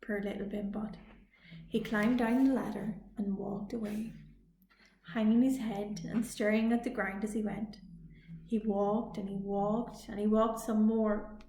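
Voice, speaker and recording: Slow-reading woman in an echoey room. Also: medium pitch, flat delivery, mellow. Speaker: librarian reading a children's story. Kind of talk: expressive storytime narration. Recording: echoey room, faint noise.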